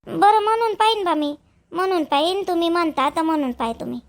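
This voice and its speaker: A woman's voice: very high-pitched, brisk. A woman voicing an obliging young sister-in-law in a village cartoon, chatty and repetitive, with a Vidarbha Marathi accent. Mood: reluctant, obliging. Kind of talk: homemade cartoon family dialogue.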